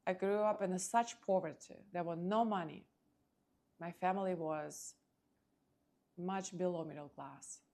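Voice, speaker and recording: A woman from Ukraine with a Ukrainian accent hosts a motivational monologue, speaking in short, emphatic phrases with dramatic pauses. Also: fairly low pitch, a little mellow. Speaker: podcast host and motivational speaker.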